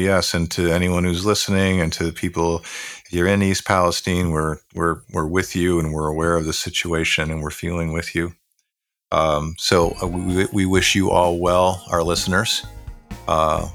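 A man with a very deep voice and a bright, forceful edge talks through a reflective podcast conversation. He is earnest and measured, often restarting phrases. The sound is dry and close. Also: no room sound. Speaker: podcast co-host.

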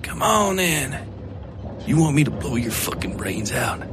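A man's voice: medium pitch, big pitch swings, measured pace, background noise. A man voicing a cruel, scheming gunman with coarse, emphatic rustic speech in an audio horror story. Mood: menacing whisper.

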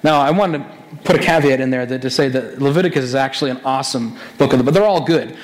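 A hoarse man with a raspy voice, a pastor preaching a conversational sermon with many restarts. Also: mid-range voice, quick pace.

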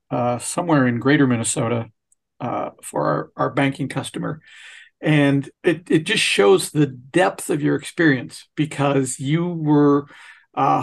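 A man's voice: medium pitch, vocal fry. A man hosting a conversational interview. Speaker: podcast host and interviewer.

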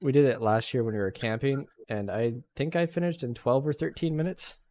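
Brief: young male podcast host, mid-pitched, easygoing and chuckling, dry close-mic sound. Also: fairly quick, a little mellow, dry recording. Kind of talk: casual chat.

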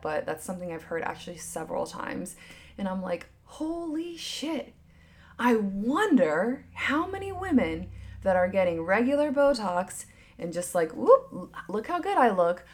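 A woman in her thirties chatting casually and candidly as a podcast host, swinging between emphatic bursts and hushed asides, with lots of filler words. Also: lowish voice. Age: 30s. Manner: frequent filler "like", candid and swearing. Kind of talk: casual opinion chat.

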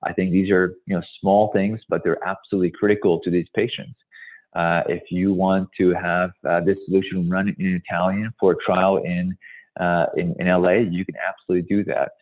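Very deep-voiced man on a tinny call. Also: flat delivery, fairly quick. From Hungary. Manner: emphatic, repeats "absolutely critical". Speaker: guest presenter, tech company executive. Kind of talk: webinar presentation and Q&A. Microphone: video call. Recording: tinny phone-like call audio.

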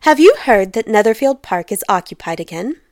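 A woman voicing a fussy, excitable, nagging middle-aged wife with a dramatic, complaining manner in a comic storybook reading. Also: mid-range voice, fairly quick, no room sound, clean studio sound, close to an everyday voice. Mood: eager, insistent.

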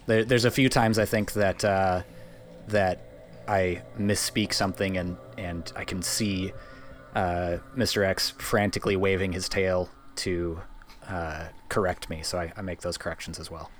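A man's voice: low voice, fairly even intonation, measured pace, a little hiss or hum. A man chatting casually as a player in a tabletop game, feeling out his sentences.

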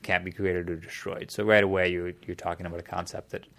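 A man's voice: low-pitched, flat delivery, fast, creaky, fairly bright tone. A man in casual conversation, explaining a topic at length in a thoughtful way, often restarting his sentences.

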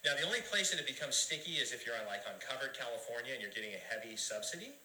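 A man on a talk show guest spot explains insurance plans step by step, upbeat and chuckling now and then. He talks fast in a very bright voice of middle pitch. The studio sounds roomy. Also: lively intonation. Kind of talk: casual explaining.

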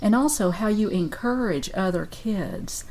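A woman's voice: mid-range voice, expressive, unhurried, darkish tone, low-quality recording. A woman hosting a conversational interview, asking questions in an encouraging, affirming way. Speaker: interviewer, host. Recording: clear background ambient noise.

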